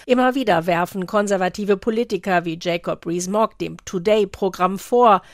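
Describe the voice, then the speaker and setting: A woman's voice: mid-range voice, moderate pace, no room sound, clean studio sound. A woman reading a scripted radio report as a foreign correspondent.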